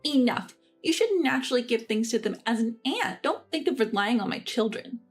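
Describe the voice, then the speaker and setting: A woman's voice: on the high side, animated, a little fast, soft background music. A woman voicing an entitled, snide sister-in-law who talks down to others. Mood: indignant. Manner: condescending, needling.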